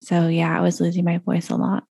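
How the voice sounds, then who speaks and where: A woman's voice: on the low side, monotone, brisk, vocal fry, warm tone, husky, no room sound, clean studio sound. Rambling, chatty woman podcast host. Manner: rambling, laughs often, trails off. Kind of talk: casual chat. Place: home recording closet over a video call. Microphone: video call audio.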